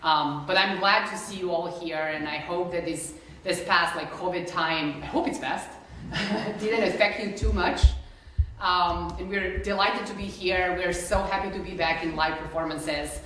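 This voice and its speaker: A woman's voice: lowish voice, unhurried, a bit bright, distant mic, a little hiss or hum. A young woman with a Serbian accent, chatting casually to a concert audience with many fillers, as a flutist introducing the program. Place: concert hall. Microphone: distant room mic. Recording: echoey hall recorded from afar.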